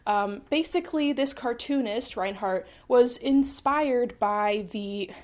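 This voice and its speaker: A woman's voice: a little high, slow, a little mellow. Stuffed-up woman rambling about history. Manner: rambling, self-deprecating, wry asides. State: stuffed up from a cold. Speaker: history video host. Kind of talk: casual history explainer. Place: small apartment room.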